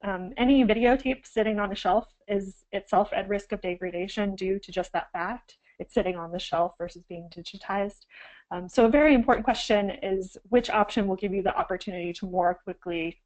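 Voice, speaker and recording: A woman's voice: mid-range voice, brisk, darkish tone, rough recording. Woman with a cold giving a webinar. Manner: frequent "um"s and self-corrections. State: getting over a cold, slightly froggy. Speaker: archivist presenting a webinar. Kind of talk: instructional webinar talk.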